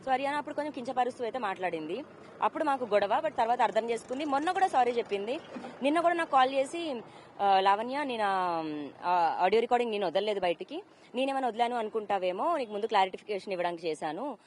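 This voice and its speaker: A woman's voice: fairly high pitch, animated, quick pace, a little hiss or hum. A woman, giving a personal account as an interview guest and mixing in English words. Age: 30s. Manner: code-mixes English words into her talk.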